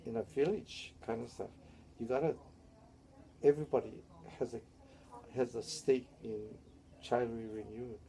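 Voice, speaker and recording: A man's voice: medium pitch, normal speed, creaky, dark tone, rough recording. An older man from Hawaii, telling his life story in an oral history interview, rambling and tagging his sentences with "yeah?". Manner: rambling, tags statements with "yeah?".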